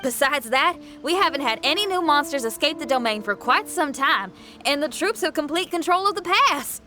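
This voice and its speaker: A woman's voice: high-pitched, expressive, moderate pace, pushed and bright, faint music behind. A woman voicing a friendly young female adventurer in an anime game, conversational and matter-of-fact. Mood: relieved, informative.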